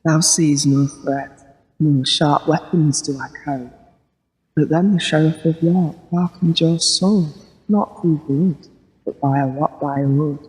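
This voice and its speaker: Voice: mid-range voice, close to an everyday voice. A performer playing a vengeful, mocking witch in a radio play, speaking ominous archaic lines in a declamatory way. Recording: long reverberant echo.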